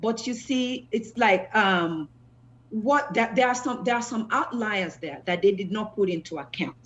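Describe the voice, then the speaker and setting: Halting woman, muffled. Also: medium pitch, expressive. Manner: halting, many fillers and restarts. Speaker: panel guest. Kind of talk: discussion panel answer.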